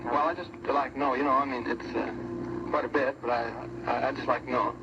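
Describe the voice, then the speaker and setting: A man speaking haltingly and informally on a phone call during an old radio show. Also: medium pitch, steady tone. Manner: hesitant, halting. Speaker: contestant. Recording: very noisy, muffled vintage radio recording.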